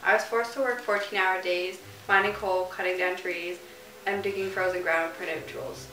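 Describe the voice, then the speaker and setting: A woman playing a grieving former labor camp prisoner in a mock news interview, giving measured, scripted answers. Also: lowish voice, steady tone, close to an everyday voice. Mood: solemn. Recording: echoey, distant mic under loud music.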